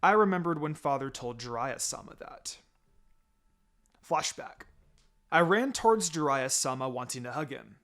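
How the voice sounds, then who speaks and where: A man narrates a fan-written story aloud in the first person. His voice sits a little high and moves up and down a lot, giving the reading an expressive, animated feel. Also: moderate pace, long pauses. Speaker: fan fiction reader.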